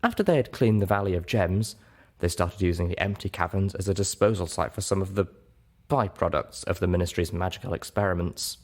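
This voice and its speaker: A man's voice: low-pitched, a little fast, a little mellow. A man voicing a knowledgeable female pony guide in a storybook reading, explaining things in a matter-of-fact way.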